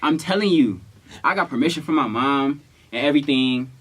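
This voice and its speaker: A man's voice: mid-range voice, brisk, husky. Playful young man, casual and slangy. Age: young adult. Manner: playful, slangy, animated protesting. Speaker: vlogger telling a couple story. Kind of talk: casual storytime chat.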